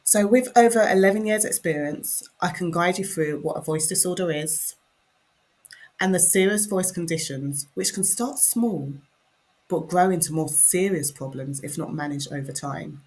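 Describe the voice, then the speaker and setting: A woman, a speech and language therapist, giving a measured, scripted presentation that explains as it goes. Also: on the low side, a little mellow, low-quality recording.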